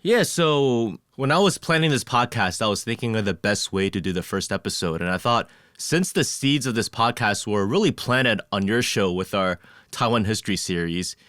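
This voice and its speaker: A man's voice: fairly low pitch, animated, normal speed, a bit bright, no room sound. Young male podcast host, dry close mic. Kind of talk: scripted intro and casual interview.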